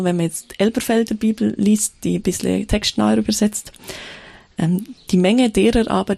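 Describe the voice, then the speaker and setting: A woman preaching in a reflective, unhurried way, with a gravelly edge to her voice. She keeps stopping to restart her sentences and fills the gaps with small hesitations. The sound is dry and close. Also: medium pitch, darkish tone, no echo. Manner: thoughtful, with frequent fillers and restarts. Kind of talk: sermon.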